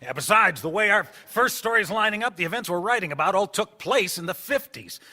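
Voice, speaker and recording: An actor playing a passionate newspaper reporter in a radio drama, earnest and indignant. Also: male voice, high-pitched, animated, brisk, pushed and bright, long reverb tail, exaggerated voice.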